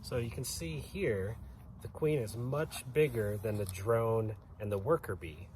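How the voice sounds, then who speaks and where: A man's voice: fairly low pitch, measured pace, a little mellow, slight background noise. A man giving an informal educational explanation for children as a guest, going through things plainly and step by step.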